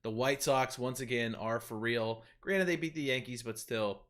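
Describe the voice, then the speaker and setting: A man hosts a casual sports podcast, cracking jokes while moving the show from topic to topic. His voice sits at an ordinary, middle pitch. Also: normal speed, a bit bright. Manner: joking, steers the segments. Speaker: podcast host.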